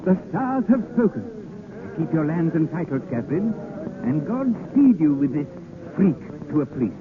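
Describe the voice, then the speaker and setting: A man's voice: fairly high pitch, big pitch swings, moderate pace. An actor playing a haughty, mocking medieval French king in a 1930s radio drama, with theatrical, declamatory delivery. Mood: grandly amused. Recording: scratchy, narrow 1930s radio broadcast.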